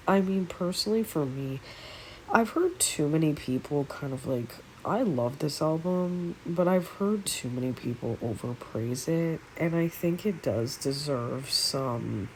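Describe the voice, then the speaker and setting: A woman's voice: low-pitched, expressive, unhurried, darkish tone, slight background noise, low-quality recording. A woman with a cold and a nasal voice, chatting casually and rambling with many fillers while making a music tier list. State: congested with a cold. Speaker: music vlogger. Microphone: phone.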